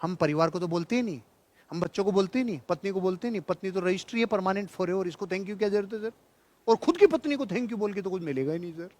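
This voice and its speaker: High-pitched man giving a motivational talk. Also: expressive, fast talker, a little mellow. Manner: emphatic, repeats key phrases for effect; jocular, colloquial storytelling. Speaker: motivational speaker and trainer. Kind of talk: motivational seminar speech with anecdotes and humour.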